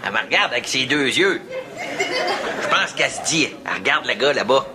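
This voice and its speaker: A man's voice: medium pitch, animated, fairly quick, a crowd in the background, lightly acted. An actor with a Québécois accent playing a vain, rich man who boasts about his pull with women. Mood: smug. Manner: boastful, self-satisfied. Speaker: sitcom character, rich friend. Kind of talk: sitcom acting. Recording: TV sitcom audio with noise.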